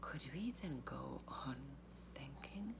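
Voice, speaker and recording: A woman giving a literary reading, with deliberate, measured phrasing, long pauses and a breathy, gravelly voice. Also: very deep voice, expressive, noisy background. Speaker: artistic director of a theatre, reading aloud.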